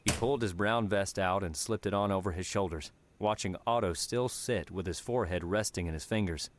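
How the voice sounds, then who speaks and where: Low-voiced man narrating an audiobook. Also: brisk. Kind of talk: scripted story narration.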